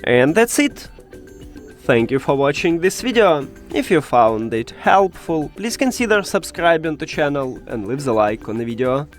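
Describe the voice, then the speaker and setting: A man giving a step-by-step tech tutorial, with hesitant, halting phrasing. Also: on the high side, big pitch swings, soft background music.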